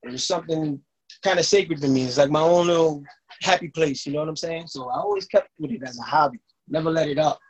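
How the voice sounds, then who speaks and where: A man from New York, a guest artist introducing himself in a relaxed, conversational way. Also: a little high, fast, slightly rough, rough recording. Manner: relaxed, conversational, with "you know what I'm saying" asides. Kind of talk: casual self-introduction.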